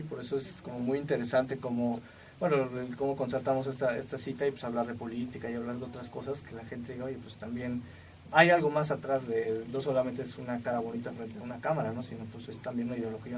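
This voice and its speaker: Fast-talking Mexican man hosting. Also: medium pitch. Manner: often ends points with a tag question. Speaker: radio interview host. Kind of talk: conversational radio interview. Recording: distant mic, faint background noise.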